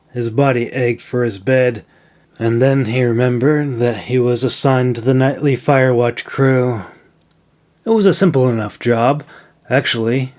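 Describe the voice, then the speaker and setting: A man's voice: mid-range voice, darkish tone. A man reading his own fantasy novel as scripted audiobook narration.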